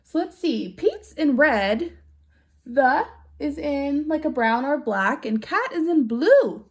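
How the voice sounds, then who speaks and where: A woman reading a picture book aloud to young children in an animated way, putting questions to her listeners. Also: high voice, slow pace. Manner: animated, with gasps and exclamations. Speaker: storybook reader for young children.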